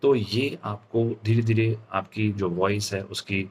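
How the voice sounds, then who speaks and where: An Indian man with a low voice teaches like a speaking coach. He takes his time, moving slowly and deliberately and leaning on important words. A faint noise sits behind him. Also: steady tone. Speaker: public speaking trainer. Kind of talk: instructional lesson mixing Hindi and English.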